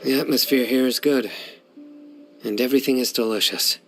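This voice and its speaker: A man's voice: mid-range voice, fairly even intonation, moderate pace, fairly bright tone, faint music behind, close to an everyday voice. A man voicing a reserved young man in a game, speaking tersely and plainly. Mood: relaxed. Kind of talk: game cutscene dub.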